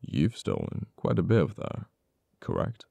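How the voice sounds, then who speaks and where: A heavily creaky, dark male voice , acted by a man, plays a cold, uptight official in an audio roleplay. He taunts a captured former friend slowly, with deliberate dramatic pauses. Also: very deep voice, huge pitch swings, no room sound, full character voice. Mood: taunting.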